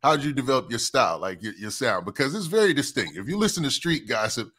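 Upbeat male interviewer with a bright, sharp tone, keen and affirming. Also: mid-range voice, sing-song, fast, breathy edge. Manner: eager, affirming, asks leading questions. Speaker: interview host. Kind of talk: casual interview chat.

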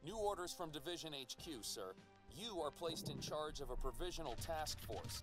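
A man's voice: fairly high pitch, lightly acted. A man playing a paratrooper officer in a war game, giving a formal, clipped mission briefing to his commander. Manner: formal, clipped military reporting. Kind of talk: scripted military mission briefing. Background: music under the voice.

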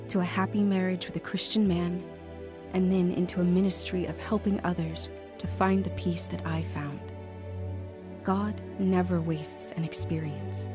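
A woman's voice: fairly low pitch, close to an everyday voice. Actress doing a hurt, sincere young woman telling her story in an audio drama, faint music behind. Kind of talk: dramatized first-person testimony narration and dialogue.

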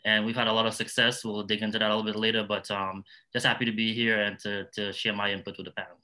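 A man's voice: lowish voice, little pitch movement, fast talker, bright, forceful tone. A middle-aged man with a Trinidadian accent, speaking as a nonprofit founder on a discussion panel. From Trinidad and Tobago. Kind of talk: panel discussion talk, personal stories.

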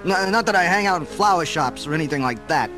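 Radio-play sweetheart male actor: young, bashful, earnest New Yorker, high lively voice. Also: fast, over music, close to an everyday voice. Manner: stammering, earnest. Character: shy, awkward, eager young Brooklyn man.

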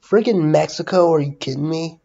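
A man's voice: a little high, fairly quick, gravelly, warm tone, lo-fi sound. A young man chatting casually and swearing as he addresses viewers during prank calls. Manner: informal, sweary. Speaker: prank caller, video host. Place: at a home computer. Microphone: computer microphone. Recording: noisy home desktop mic with clicks.

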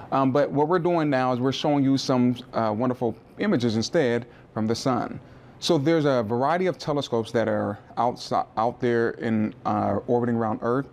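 A man's voice: mid-range voice, darkish tone, a little hiss or hum. Chatty man guiding a tour. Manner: friendly, chatty, with small restarts and asides. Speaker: planetarium manager giving a tour. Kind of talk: informal guided tour explanation. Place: rooftop observatory outdoors, then indoor exhibit room.

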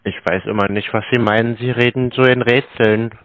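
A man's voice: medium pitch, fairly even intonation, brisk, a bit bright, no echo. A man on an unscripted phone call, playing a naive customer who stubbornly keeps questioning. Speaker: prank-calling YouTuber posing as customer. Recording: slightly dull lo-fi phone recording.

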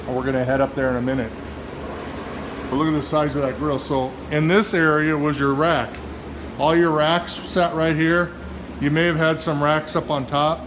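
A man's voice: a little high, noisy background. A middle-aged man, an HVAC service manager, walks through an old machine room and talks casually and admiringly about the equipment into a handheld phone. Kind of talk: casual walkthrough narration. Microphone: phone camera held in hand.

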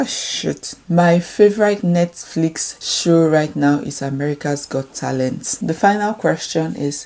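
Young woman, Nigerian accent, low warm voice, chatty home vlogger talking to a close camera. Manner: chatty, rambling, reads questions aloud. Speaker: beauty YouTuber. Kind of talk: casual Q&A to camera. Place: indoor room at home.

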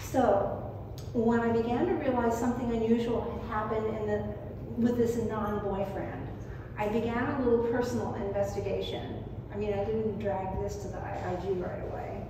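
An older woman giving a prepared, personal conference talk in a measured, reflective, somewhat halting way. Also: mid-range voice, fairly even intonation. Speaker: speaker at a skepticism conference. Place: meeting room, at a lectern, indoors. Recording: very echoey hall, distant mic, noisy.

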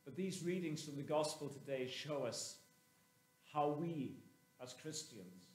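A man in his sixties preaching a sermon as a clergyman, with measured, emphatic phrasing and pauses, recorded inside a church. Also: fairly high pitch, slight background noise. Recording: roomy, echoing church sound.

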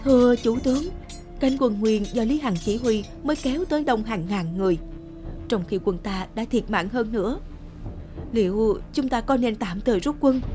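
A woman's voice: on the high side, normal speed, music in the background, close to an everyday voice. A woman voicing a dutiful male soldier reporting urgently and deferentially in a historical cartoon. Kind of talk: cartoon history drama acting.

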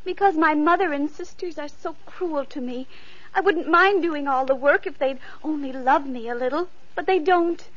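A woman's voice: high-pitched, moderate pace, fairly bright tone. A woman playing a poor, lonely young girl in an old radio play, pleading and sweet, on a dull lo-fi recording. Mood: earnest. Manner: pleading, tearful. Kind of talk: 1950s radio acting.

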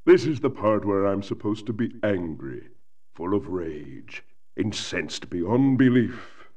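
An actor playing a whiny, pompous mad scientist villain in a cartoonish video game, theatrical and self-pitying. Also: male voice, on the low side, big pitch swings, slow, a little mellow, far from the mic, dull audio, heavily acted. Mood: mock calm. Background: game music.

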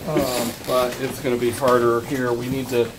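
An older man, a ski patroller, explaining first aid steps haltingly in a demonstration. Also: mid-range voice, flat delivery. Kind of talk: scenario role-play demonstration. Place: indoor ski patrol room. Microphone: camera a few steps away. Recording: noisy room, distant camera microphone.

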